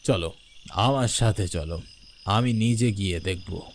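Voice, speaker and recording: A man's voice: fairly low pitch, unhurried, pitched below the performer's own voice. A man voicing a stern, concerned middle-aged father with a firm, questioning manner in a horror cartoon. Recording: faint noise with night insects.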